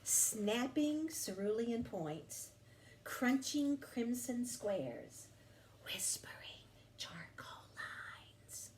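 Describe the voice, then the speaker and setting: A woman reads a children's picture book aloud in a high, lively voice that rises and falls. She lingers on vivid words with dramatic, deliberate phrasing. The room gives her voice a noticeable echo. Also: slight background noise. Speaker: storybook reader.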